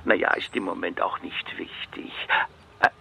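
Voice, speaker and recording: A man's voice: low voice, steady tone, normal speed, gravelly, background noise. An actor playing a pompous, fussy, self-important male scientist in a comic radio play. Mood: fascinated. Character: pompous, excitable male fly scientist, self-declared great expert.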